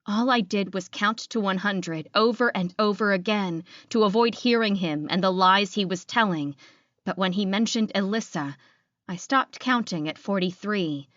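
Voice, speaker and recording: A woman narrates first-person fiction in a scripted style. Her pitch sits in the middle, and the recording is very dry with slightly muffled highs. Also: moderate pace, a bit bright, no room sound. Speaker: audiobook narrator.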